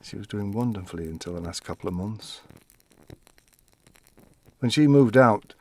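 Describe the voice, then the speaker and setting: An actor playing a gentle, wistful, fussily defensive elderly widower in an audio-play monologue, telling his story in a reflective, halting way. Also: on the low side, animated.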